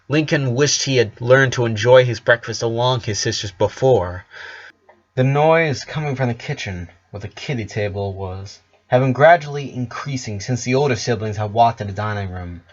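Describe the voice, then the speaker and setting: A man narrating fan fiction aloud, expressive, occasionally stumbling over a word and correcting himself. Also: medium pitch. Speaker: story narrator. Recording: slightly dull, lo-fi microphone.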